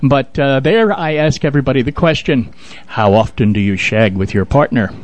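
Halting man chatting casually on radio. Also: mid-range voice. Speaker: radio co-host giving news and announcements. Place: radio studio.

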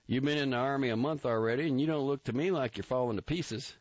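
A man doing a loud, blustering army captain. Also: medium pitch, fast, dry recording, voice pushed up, natural voice. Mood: sarcastic. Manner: loud, bullying, sarcastic. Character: blustering male army captain, gruff and impatient. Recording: dull dry recording.

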